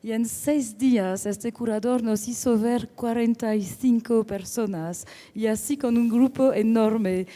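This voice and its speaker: A woman's voice: fairly high pitch, fairly even intonation, unhurried, dark tone. Hesitant woman with a French accent. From France. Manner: hesitant, many fillers and restarts. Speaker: book editor and collector. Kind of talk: conversation at a book presentation.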